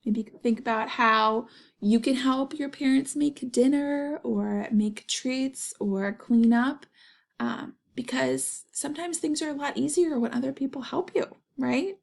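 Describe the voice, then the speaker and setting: A woman reading a children's story aloud and pausing to put questions to her young listeners. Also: high-pitched, vocal fry.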